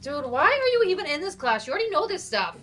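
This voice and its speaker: Woman playing teasing young student gal in a play reading, high voice, wry, bit of room noise. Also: sing-song, quick pace, a bit bright.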